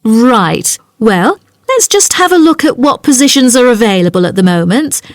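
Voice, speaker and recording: Woman acting as helpful female office agent in a listening test skit, courteous, businesslike, highish voice. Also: sing-song, moderate pace, dry recording, studio quality. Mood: polite, helpful. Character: polite, helpful female student job centre agent. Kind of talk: scripted language-test dialogue.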